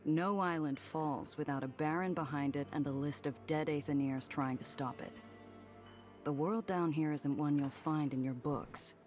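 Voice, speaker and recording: A woman's voice: sits low, steady tone, moderate pace, a little mellow, faint music behind, lightly acted. An actress playing a tough, world-weary smuggler woman in a 1930s noir game, wry and clipped. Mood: stern, wry.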